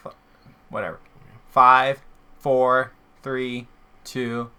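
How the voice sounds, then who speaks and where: A man's voice: medium pitch, flat delivery, very slow, pushed and bright, smooth. A young man co-hosting a podcast in casual, conversational banter. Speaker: podcast co-host.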